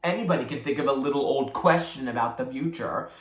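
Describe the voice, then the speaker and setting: A storybook reader voicing a bossy, theatrical boy who schemes smoothly and likes to play high priest. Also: quick pace, roomy sound, close to an everyday voice. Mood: scolding. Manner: solemn chanting, mock-innocent persuasion.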